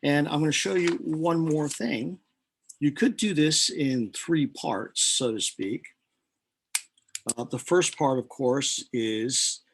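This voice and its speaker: A man's voice: mid-range voice, creaky, a little mellow. A man teaching chemistry in a recorded lecture, walking through a lab calculation in a conversational way, with fillers, self-corrections and dry asides about himself. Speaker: chemistry instructor.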